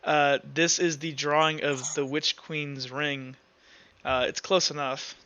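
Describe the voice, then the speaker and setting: A man running a tabletop game as game master, explaining casually and haltingly, rephrasing as he goes. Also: a little high, little pitch movement, sharp tone, smooth, lo-fi sound.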